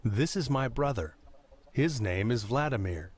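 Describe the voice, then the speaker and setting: American guy, medium voice, reads easy english phrases slow and careful, pizzicato music under it. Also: medium pitch, expressive, husky. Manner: careful, measured delivery of short phrases. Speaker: English lesson reader. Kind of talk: slow scripted lesson reading. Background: plucked string music.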